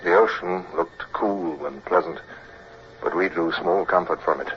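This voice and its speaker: An actor playing an earnest, observant young naval officer in an old radio drama, with clipped formal speech. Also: male voice, deep voice, a little slow. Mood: measured narration. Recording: dull, hissy old radio recording.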